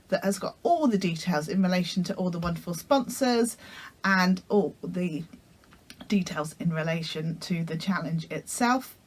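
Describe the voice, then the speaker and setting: A woman with a croaky voice from a cold, chatting casually to camera as a sewing vlogger, friendly and full of ums. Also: lowish voice.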